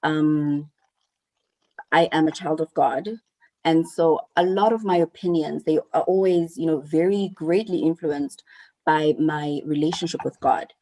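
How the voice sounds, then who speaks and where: Low, warm-voiced woman guest. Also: fairly even intonation, unhurried. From South Africa. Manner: thoughtful, earnest, repeats words while searching for them. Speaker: panel guest. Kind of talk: casual online panel chat.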